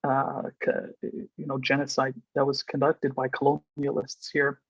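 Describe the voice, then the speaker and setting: A man hosting an online panel, thanking the previous speaker in a measured, sincere way. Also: medium pitch, monotone, gravelly, husky, dry recording, muffled recording. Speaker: panel host.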